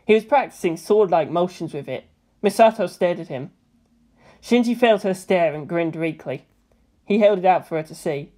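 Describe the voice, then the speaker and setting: A woman's voice: on the low side, expressive, normal speed, dark tone. A woman reading scripted fan fiction narration as an audiobook narrator.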